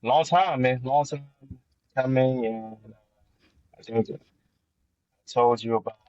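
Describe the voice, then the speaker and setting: A man's voice: medium pitch, slow pace, darkish tone, dry recording. A man hosting a podcast, speaking casually with many hesitations and slang. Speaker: podcast host. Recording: dry, dull lo-fi sound.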